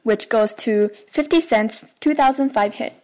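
Higher-pitched woman reading rap lyrics. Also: unhurried, a little mellow. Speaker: podcast co-host. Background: faint music.

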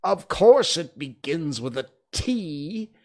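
A man's voice: medium pitch, huge pitch swings, slow pace, voice pushed up. A man voicing a short-tempered storybook king, imperious and threatening in manner. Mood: angry. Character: bossy, short-tempered male king sitting as judge.

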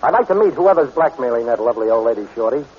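An actor playing a breezy, wisecracking reformed thief with a glib, teasing manner in 1940s radio drama style. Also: male voice, medium pitch, expressive, racing, natural voice. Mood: confident, teasing. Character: breezy, wisecracking male reformed-thief adventurer with a good heart. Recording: muffled, noisy 1940s radio recording.

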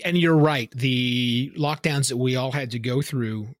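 A man's voice: medium pitch, steady tone, unhurried, dry recording, clean studio sound. A man talking as a podcast guest, explaining things in an enthusiastic, casual way. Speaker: podcast guest, newsletter curator. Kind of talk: casual interview talk.

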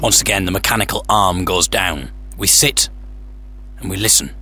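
A man hosting a podcast, narrating a scripted story with theatrical suspense, pauses and wry humour. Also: low voice, pushed and bright. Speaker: podcast host. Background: music.

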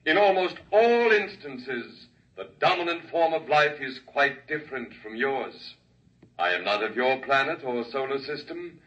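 Stately alien speaker, formal and bright-toned, muffled old radio sound from off-mic. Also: male voice, mid-range voice, lively intonation. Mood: solemn. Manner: formal, measured oratory. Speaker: alien speaker addressing a crowd. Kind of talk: 1950s radio drama acting. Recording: muffled 1950s radio broadcast, distant mic.

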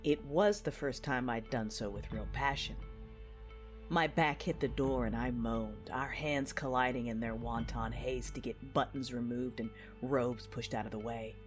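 A woman's voice: extremely low pitch, fairly even intonation, measured pace, soft background music. A woman acting as an intense, passionate Jedi knight, narrating her own story in a confessional, introspective audio drama style. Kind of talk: first-person audio drama narration with dialogue.

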